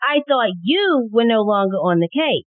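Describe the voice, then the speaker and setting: An eager female assistant acted by a woman puts questions in a high, very lively voice. It is theatrical audio drama, heard through a thin, phone-like recording. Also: normal speed, dry recording. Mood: curious. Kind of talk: theatrical audio play acting. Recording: thin, narrow phone-like sound.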